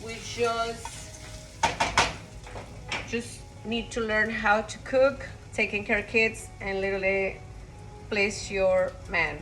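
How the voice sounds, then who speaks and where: A woman from Costa Rica talks her way through a home-cooked meal in her kitchen, rambling from one thought to the next and tossing in blunt asides. Her voice sits at a middle pitch, with faint music playing behind her. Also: fairly even intonation, takes it slowly, pauses often, rough recording. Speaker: home cook vlogger. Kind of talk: casual cooking vlog.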